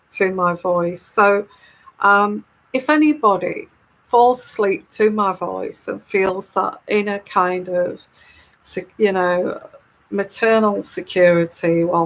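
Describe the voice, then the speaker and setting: Slow, calm-voiced woman. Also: medium pitch, creaky, poor audio. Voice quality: calming, soothing voice. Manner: unhurried, chatty and rambling. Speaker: tarot reader and live-stream host. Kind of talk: casual live-stream talk reading viewer comments.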